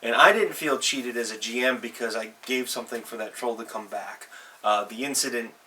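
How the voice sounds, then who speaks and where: A man with a bright, forward voice talks off the cuff as a gaming show host. He rambles through anecdotes in a halting way, backtracking to correct himself. He sounds a little away from the mic, with faint noise behind him. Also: medium pitch, distant mic. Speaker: host of a tabletop role-playing advice show. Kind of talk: casual anecdotal monologue.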